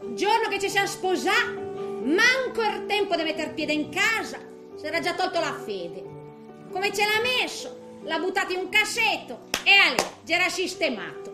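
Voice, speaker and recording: A woman's voice: extremely high pitch, sing-song, moderate pace, music in the background, crowd noise. An actress playing a feisty, bitter, garrulous widow in her eighties in a theatrical comic monologue, grumbling colloquially in with little interjections. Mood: bitter, resigned. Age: 80s.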